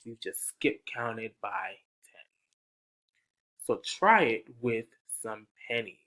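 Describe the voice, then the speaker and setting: Man, children's story reader, lively up-and-down pitch, bright voice, unhurried. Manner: slow, sing-song, coaxing questions to young listeners. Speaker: teacher reading aloud. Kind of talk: children's picture book read-aloud.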